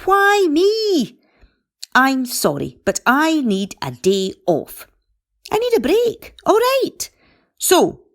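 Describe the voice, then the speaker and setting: Radio play: kindly, fed-up Scottish woman, played by an amateur actress. High pitch, very animated, expressive. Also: heavily acted. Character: Mabel, fed-up kindly woman craving a day off.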